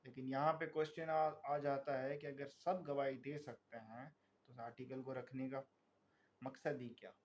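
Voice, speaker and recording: A man with a Pakistani accent lecturing as a tutor, reading formal text and explaining it in a deliberate, didactic way. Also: medium pitch, little pitch movement, a little hiss or hum. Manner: deliberate, didactic, repeatedly checking understanding. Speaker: law tutor.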